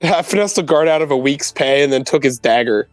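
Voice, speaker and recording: A man's voice: on the high side, lively intonation, a little fast. A man chatting casually as a player in a tabletop roleplaying game.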